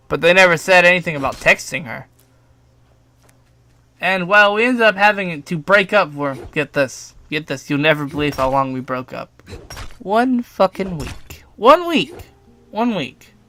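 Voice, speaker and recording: A man's voice: high voice, bright, forceful tone, no room sound. A young adult man telling a personal story casually, partly from a script, with informal, self-deprecating asides and some swearing. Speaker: storytime video narrator.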